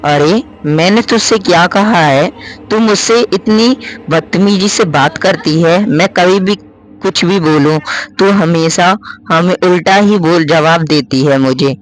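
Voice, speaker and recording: A man's voice: fairly high pitch, unhurried, natural voice, rough recording. A man voicing an offended schoolboy who lectures a girl about manners, in a spoken story. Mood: indignant. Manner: indignant, lecturing.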